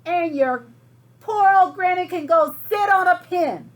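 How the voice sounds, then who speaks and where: A woman voicing a rude, defiant little male pig in a children's storybook, yelling curtly. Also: very high-pitched, measured pace, voice pushed up. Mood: angry, defiant. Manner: loud, curt yelling. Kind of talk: storybook read aloud to children.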